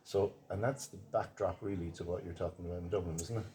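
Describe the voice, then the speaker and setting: Deep-voiced male interviewer, mellow tone. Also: fairly even intonation. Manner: affirming, agreeable, quick to chime in. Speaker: conference organiser and interviewer. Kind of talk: casual interview chat.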